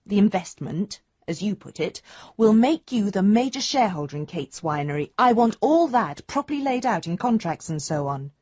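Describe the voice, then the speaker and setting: A woman's voice: fairly low pitch, no room sound, muffled recording. An actress playing a concerned, affectionate grown daughter in a radio drama, chattily reading out a letter to her father. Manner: chatty, gently questioning.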